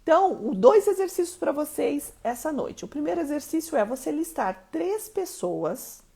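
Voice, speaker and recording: Woman coach from Mato Grosso do Sul, Curitiba accent, emphatic and checking in with viewers; husky, slightly high. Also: warm tone. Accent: Curitiba Portuguese. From Mato Grosso do Sul, Brazil. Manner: emphatic, keeps checking in with listeners. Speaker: live-stream coach. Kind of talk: motivational live-stream teaching.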